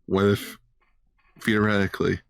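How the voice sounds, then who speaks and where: A man's voice: fairly low pitch, little pitch movement, measured pace, gravelly, clean tone, no room sound. A man co-hosting a podcast, chatting casually as a fan with joking speculation. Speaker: podcast host.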